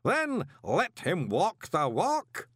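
A booming pompous lodge master, raised pitch, drawn out solemn words, audiobook ritual voice. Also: male voice, wildly swooping pitch, husky, no echo, clean studio sound, exaggerated voice. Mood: commanding, solemn. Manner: slow, solemn, intoning. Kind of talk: audiobook character voice.

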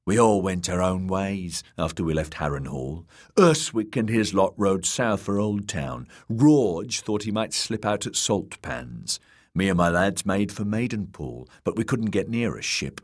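Sneering outlaw with a lazy Dornish drawl, low and cool, voiced by a man. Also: lively intonation, unhurried. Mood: telling. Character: sly menacing male outlaw with a drawl.

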